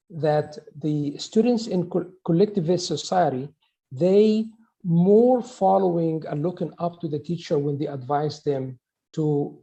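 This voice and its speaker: Man, gravelly, dark-toned. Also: on the high side, poor audio. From Egypt. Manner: halting, frequent restarts and fillers. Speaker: conference presenter, professor. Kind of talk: academic conference talk.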